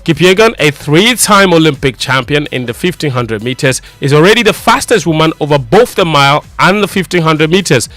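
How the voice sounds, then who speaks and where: An excitable young man presenting sports on a morning radio show, his voice slightly high and swinging widely in pitch. He teases his co-hosts with animated energy. The sound is dry and close, with no room echo. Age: young adult. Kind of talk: radio news reading and studio banter. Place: radio studio.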